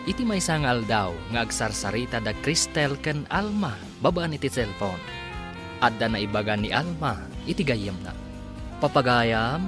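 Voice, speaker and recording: A man narrating a scripted radio drama as its announcer. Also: mid-range voice. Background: music.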